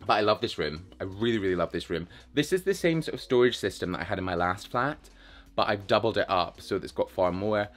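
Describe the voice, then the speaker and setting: Chatty low-voiced man touring his flat. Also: quick pace. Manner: chatty, self-mocking, enthusiastic. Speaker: vlogger giving a flat tour. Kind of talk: casual walk-through chat. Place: rooms of a London flat, indoors. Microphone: handheld camera at arm's length.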